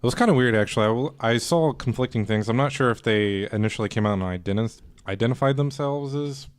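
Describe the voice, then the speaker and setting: A man's voice: medium pitch, quick pace, clear, resonant tone, no echo. A man talking casually as a guest presenter on a podcast panel.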